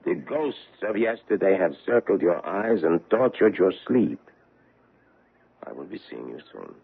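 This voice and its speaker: An actor playing a stern, relentless hunter of a war criminal in a radio drama, grave, insistent and accusing. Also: male voice, deep voice, sing-song, a little slow, creaky, full character voice. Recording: noisy, tinny old radio recording.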